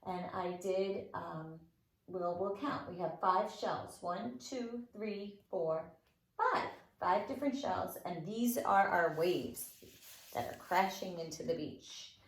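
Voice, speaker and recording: A woman's voice: on the low side, slow pace. A woman nursery school teacher leading children's circle time with rhymes and finger plays in a playful, encouraging, sing-song way. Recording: roomy, echoing indoor sound.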